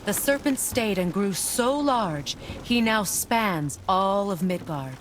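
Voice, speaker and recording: Wise sorceress, medium-pitched, measured and solemn, rainy noise behind. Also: female voice, expressive. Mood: solemn storytelling. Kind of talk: dramatic game storytelling.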